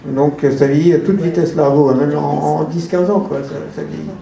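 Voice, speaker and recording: A man's voice: a little high, fairly even intonation, normal speed, gravelly, dark tone, echoey room, poor audio. A man who translates books, talking at a panel in a hesitant way with many restarts. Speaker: literary translator. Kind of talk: panel discussion.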